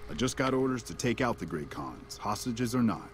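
An actor playing a dutiful, conflicted military lieutenant in a video game, speaking in a measured, earnest way. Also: male voice, lowish voice, big pitch swings, a little hiss or hum. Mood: grave. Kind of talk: video game dialogue acting.

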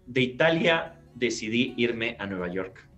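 A man, an actor recounting his career in an interview over a video call from a bright living room. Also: medium pitch, unhurried, faint music behind. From Peru. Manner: animated, gestures while recounting, restarts phrases. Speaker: guest actor. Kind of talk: storytelling interview answer. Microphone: laptop webcam on video call.

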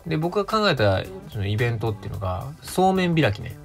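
Guy around 30, kansai accent, animated pitch, doing a chatty solo radio segment. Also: fast talker, creaky, soft background music. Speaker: radio show host. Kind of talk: casual monologue for a remote radio show.

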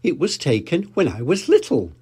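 Grandad doing a bossy little lamb girl, storybook, raised pitch, husky. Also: huge pitch swings, normal speed, a little mellow, exaggerated voice. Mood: insistent.

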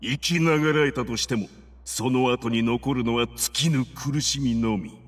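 An actor playing a grim, proud leader of a desert fanatic warband in a video game, with a rough, theatrical, declamatory delivery. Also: male voice, medium pitch, measured pace, natural voice. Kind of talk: dramatic video game voice acting. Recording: long reverb, music underneath. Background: game music.

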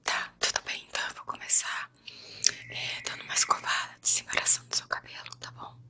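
A woman in her twenties with a Brazilian Portuguese accent, whispering softly and gently in an ASMR roleplay as a hairdresser talking to a client. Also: rumbling low, completely flat delivery, brisk, vocal fry. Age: 20s. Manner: soft, gentle, addressing the listener as a client. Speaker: ASMR creator playing a hairdresser. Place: small room at home.

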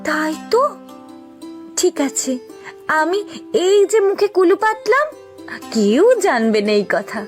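A woman plays a simple, talkative village wife in a cartoon. Her voice is extremely high and she speaks slowly, with music underneath. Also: very animated, exaggerated voice. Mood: naive, earnest. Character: naive, chatty farmer's wife, easily amazed. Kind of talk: Bengali cartoon folk tale voice acting.

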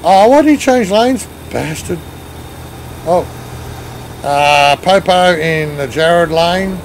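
A man's voice: sits high, expressive, slow pace, slight background noise, poor audio. A middle-aged Australian man with an Australian accent, streaming a truck game on a headset, bantering and thanking viewers in a casual, swearing way. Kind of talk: casual gaming chat. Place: home gaming room, indoors.

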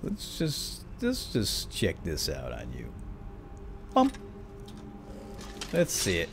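A man's voice: low voice, huge pitch swings, slow, creaky, noisy background. A man hosting a let's play with lightly role-played commentary, murmuring asides and breaking into exclamations. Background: game music.

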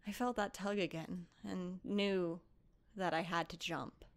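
A woman narrates a first-person fantasy story as a tabletop player. Her voice is clear and smooth, and she takes her time, keeping a measured, unhurried flow. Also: lowish voice, steady tone.

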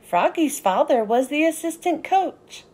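A woman reading a children's storybook aloud in an animated, sing-song way with deliberate phrasing. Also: high-pitched. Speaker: children's book read-aloud host. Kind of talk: expressive storybook narration for young children.

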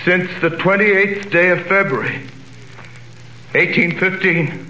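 An actor narrating and playing a young wrongly imprisoned man, in 1930s radio drama style, earnest and measured. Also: sits high, sharp tone, a little hiss or hum, full character voice. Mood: urgent. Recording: roomy 1930s radio recording with music.

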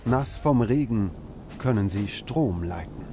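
A man reading scripted documentary narration in a measured, dramatic way. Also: fairly low pitch, lively intonation, very noisy. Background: music bed.

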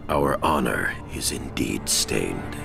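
An actor playing a solemn, honorable ninja grandmaster in a video game, speaking formally and with measure. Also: male voice, very deep voice, expressive, takes it slowly, vocal fry, heavily acted. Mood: solemn, earnest. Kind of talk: cinematic video game acting. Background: loud cinematic game music.

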